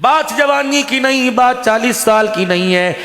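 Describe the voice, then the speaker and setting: A man preaching an impassioned Islamic sermon, emphatic, with drawn-out rhetorical questions and repetition. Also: very high-pitched, a bit bright. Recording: echoey hall with long reverb.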